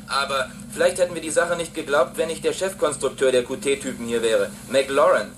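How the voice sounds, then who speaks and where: Brisk ground-station operator played by a man. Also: medium pitch, fairly even intonation, a little hiss or hum, close to an everyday voice, rough recording. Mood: official, dry. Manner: clipped, official. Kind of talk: German radio drama acting.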